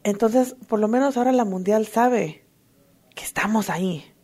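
A woman giving an emotional, earnest personal testimony at a recovery meeting, halting often to restart her sentences. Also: medium pitch, darkish tone, husky. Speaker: twelve-step fellowship member sharing.

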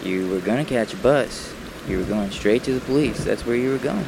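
A man playing a brooding, menacing murderer in a radio thriller, taunting and deliberate, with bitterness and sharp commands. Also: mid-range voice, creaky, background noise, natural voice. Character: menacing, brooding male murderer toying with his captive. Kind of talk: classic radio thriller acting.

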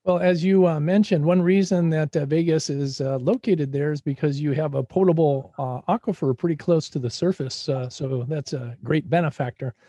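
A man's voice: a little high, moderate pace, few pauses, warm tone, dry recording. A man hosting a radio interview show, casual and filler-heavy in his questions.

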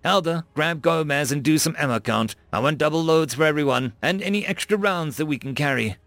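A man acts out a confident woman sergeant of the Marines, brisk and firm as she commands. The voice is raised and animated, with some creak. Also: fairly high pitch, dry recording, voice pushed up. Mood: commanding. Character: commanding, confident female Marine sergeant briefing her squad.

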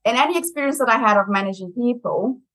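A woman's voice: fairly high pitch, fast, poor audio. A young adult woman from Brazil with a German accent, talking as a guest in a conversational interview and laughing often. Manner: laughs often, chatty and lively. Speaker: marketing executive guest.

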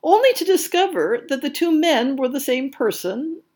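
A woman hosting a podcast, reading scripted announcements in an articulate, measured way with emphatic stresses. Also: sits high, sing-song, darkish tone. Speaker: podcast host.

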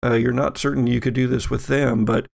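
Gravelly man running a tabletop game. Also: medium pitch, little pitch movement, fairly quick, a little mellow. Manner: conversational, explanatory. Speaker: game master. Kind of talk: improvised narration of a tabletop game.

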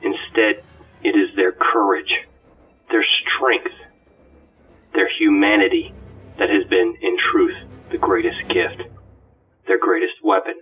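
Ancient, awestruck ghost, acted by a man: deep-leaning, unhurried, solemnly oratorical, heard thin and far off with music behind. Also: big pitch swings, vocal fry, slightly rough, heavily acted. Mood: reverent wonder. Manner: reverent, measured, oratorical. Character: awed, ancient ghost companion reflecting proudly on humanity. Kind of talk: dramatic scripted monologue. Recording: distant, phone-like audio under music.

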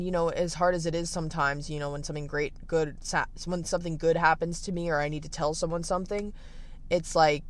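A teenage man with a raspy, gravelly voice that sits a little high for his age. He talks candidly and reflectively, circling back with fillers and restarts. It sounds like a casual phone livestream. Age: late teens. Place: at the beach.

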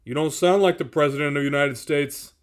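A man's voice: fairly high pitch, steady tone, brisk, natural voice. A man voicing an aggressively indifferent, skeptical guard in a comic audio story. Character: aggressively indifferent male guard behind a steel door.

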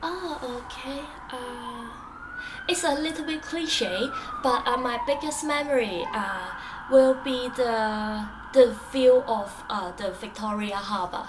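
Hong Kong-accented woman, hesitant and soft. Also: a little high, takes it slowly. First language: Cantonese. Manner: hesitant, frequent uh fillers and restarts. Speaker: interviewee. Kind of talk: casual interview answers. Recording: distant mic with faint background noise.

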